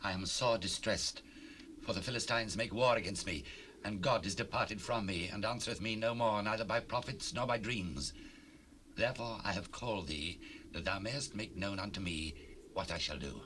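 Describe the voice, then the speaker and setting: Low, forceful, troubled king. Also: normal speed. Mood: distressed, pleading. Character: desperate, troubled male king. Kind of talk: dramatized Bible acting. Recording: faintly noisy 1970s cassette rip.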